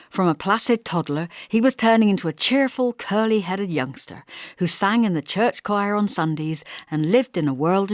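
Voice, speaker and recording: A woman narrates a literary audiobook in a fairly low voice. Her storytelling is measured and expressive, and the recording is close and dry, with no room sound. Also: dry recording. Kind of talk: scripted literary narration, first-person storytelling.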